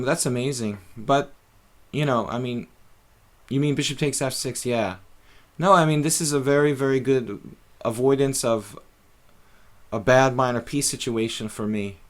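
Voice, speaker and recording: A man's voice: mid-range voice, normal speed. A middle-aged man casually commentating his own chess games on a live stream, thinking aloud with many fillers.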